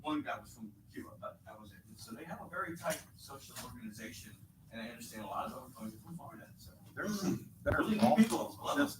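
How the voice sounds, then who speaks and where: A man's voice: mid-range voice, quick pace. A man speaking at a public meeting, telling a rambling, chatty story to the board. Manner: rambling, chatty, with lively laughter. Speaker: resident speaking at public comment. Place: echoey meeting room. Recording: echoey, distant, noisy meeting room audio.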